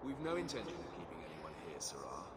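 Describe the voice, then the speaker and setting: A man's voice: low-pitched, big pitch swings, fast talker, noisy background. A man playing a cold, unbending guard in a fantasy video game. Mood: cold, dismissive.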